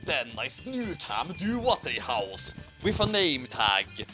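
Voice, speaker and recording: A man's voice: a little high, big pitch swings, slow, music in the background. A man narrating a comedic story in a dramatic, theatrical reading style.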